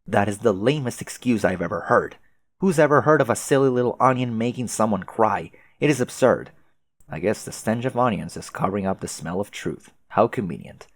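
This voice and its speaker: A man voicing a pushy, excitable female alien troll who taunts in wordy, emphatic phrases for a comic dub. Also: on the low side, a little fast, no room sound. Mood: taunting. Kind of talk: fan comic dub dialogue.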